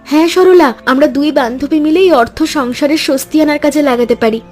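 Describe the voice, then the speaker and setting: A woman's voice: sits high, fast, soft background music, natural voice. A woman playing a caring, earnest village housewife in a cartoon story. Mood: curious, eager. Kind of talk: Bengali cartoon voice acting.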